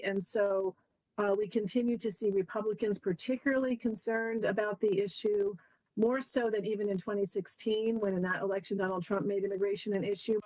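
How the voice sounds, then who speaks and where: A woman analyst explains survey findings in a measured way, pausing with small fillers. Her mid-pitched voice sounds dry and close, with little room around it. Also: a little mellow, no room sound, low-quality recording. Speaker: polling analyst. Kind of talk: interview answers.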